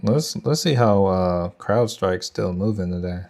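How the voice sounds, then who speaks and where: A man's voice: low-pitched, a little slow, creaky, darkish tone. A young man with a Texan accent, casually thinking aloud and chatting with viewers on a trading livestream. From Texas. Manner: thinks aloud, loose slangy talk to chat. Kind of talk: casual live market commentary.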